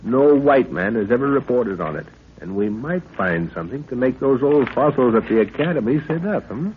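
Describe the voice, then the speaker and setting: Actor as animated professor on a tinny old recording. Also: male voice, medium pitch, moderate pace, lightly acted. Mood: musing, assured. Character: curious, brisk professor father leading an expedition. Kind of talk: 1930s radio adventure acting. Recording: tinny, noisy 1930s radio recording.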